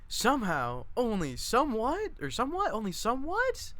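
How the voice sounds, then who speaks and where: A man's voice: very high voice, wildly swooping pitch, normal speed, fairly bright tone, no room sound, pitched above the performer's own voice, heavily acted. A man voicing a gushing, mocking busybody Southern woman from a stage play in a theatrical singsong. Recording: background music behind the voice.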